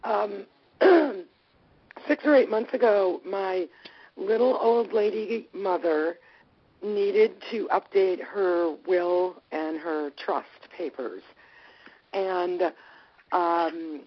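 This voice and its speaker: A hoarse woman from Michigan calling in, telling a story slowly. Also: medium pitch. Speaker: caller. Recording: dull lo-fi call audio.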